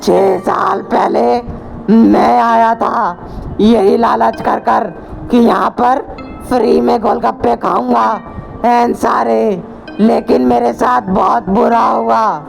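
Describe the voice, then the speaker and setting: A spooky old man played by the narrator groans and warns in a slow, raised voice. He sounds like a cartoon ghost story figure. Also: very high-pitched, steady tone, gravelly, faint music behind, voice pushed up, heavily acted, low-quality recording. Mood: ominous warning. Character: old male ghost victim, spooky and regretful.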